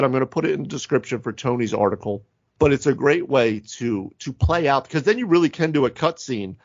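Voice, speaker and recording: A man's voice: mid-range voice, no echo. Man podcasting, dry muffled lo-fi sound. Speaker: podcast co-host; physical therapist. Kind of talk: casual panel discussion about tabletop roleplaying games.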